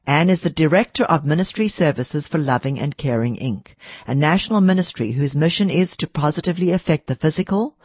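A woman's voice: sits low, darkish tone, breathy edge, no echo. A woman hosting a speaker series, reading a formal scripted introduction of the next speaker. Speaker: series host.

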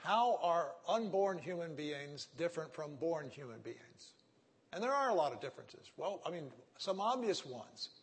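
A man with a Texan accent lectures, pressing an argument one step at a time and often stopping to ask "right?" or "okay?". His voice sits high for a man and sounds slightly husky. It carries a long, ringing reverb from a large hall. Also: sing-song, normal speed. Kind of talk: ethics lecture. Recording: echoey hall.

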